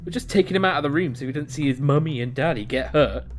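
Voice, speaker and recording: A man's voice: on the high side, fast talker, lightly acted. A man playing a menacing, sarcastic gang henchman with a taunting, mock-polite manner in an audio drama. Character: menacing, mocking male henchman gang leader, theatrically sarcastic.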